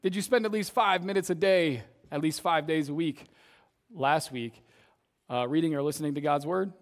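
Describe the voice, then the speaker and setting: A young man with a somewhat high voice leads a church service as its youth pastor, preaching in a relaxed, conversational way. His voice is a little raspy from shouting earlier, and he keeps checking in with the congregation by tagging his points with "right?". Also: moderate pace. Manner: conversational, tags sentences with "right?". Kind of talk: casual sermon.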